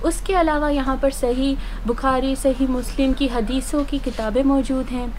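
Pakistani woman, upbeat vlogger, fairly high voice, code-switches to English, noisy outdoor plaza. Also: steady tone, moderate pace, a little mellow. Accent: Pakistani Urdu. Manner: enthusiastic, mixes in English phrases. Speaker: travel vlogger. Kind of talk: casual travel vlog chat. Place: outdoor shopping plaza and shops.

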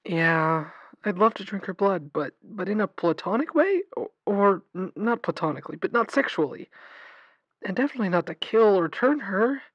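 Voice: mid-range voice, sing-song, moderate pace, no echo, lo-fi sound. An actor playing an anxious vampire with a crush, stammering hesitantly as a caller in a radio audio drama. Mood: confused, sheepish. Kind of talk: audio drama call-in acting.

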